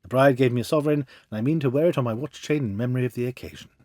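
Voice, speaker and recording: A man giving an expressive, theatrical reading of a classic detective story as an audiobook. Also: medium pitch, very fast, no echo, studio quality. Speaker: audiobook reader. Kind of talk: dramatic storybook narration of a classic detective tale.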